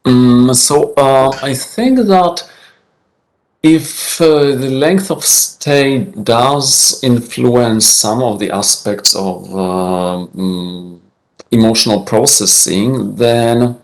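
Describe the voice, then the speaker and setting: A man answering audience questions at an academic conference, hesitant and measured, with many fillers. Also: mid-range voice, poor audio.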